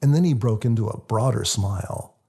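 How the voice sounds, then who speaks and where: A man reading his own book as scripted audiobook narration, in a husky, gravelly voice. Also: on the low side, brisk, mellow.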